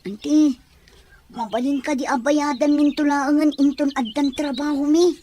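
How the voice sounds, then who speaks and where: A woman acting a high-voiced, pleading Ilocano schoolgirl. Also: steady tone, measured pace, mellow, slight background noise. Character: pleading Filipino daughter, young student wanting to keep studying. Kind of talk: radio drama acting.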